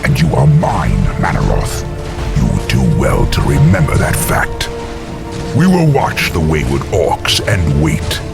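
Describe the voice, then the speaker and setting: Abyssally deep demon overlord. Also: male voice, big pitch swings, measured pace, gravelly, exaggerated voice. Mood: cold menace. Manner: ominous, domineering. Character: menacing overlord of demons, cold and commanding. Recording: loud music under the voice.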